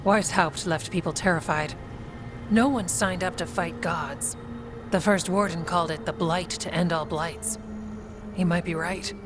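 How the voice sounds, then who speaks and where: An actress playing a frank, weary warrior officer in a video game, speaking in a plain, businesslike way. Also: female voice, fairly low pitch, steady tone, unhurried, close to an everyday voice. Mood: uneasy. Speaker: Warden officer giving battle briefing. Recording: music behind the voice.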